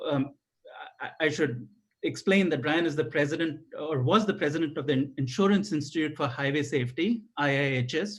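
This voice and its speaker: A man's voice: fairly high pitch, slightly rough. A man from India with an Indian accent, speaking earnestly on a video call, with many fillers and restarts, as he introduces a guest. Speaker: webinar moderator, professor.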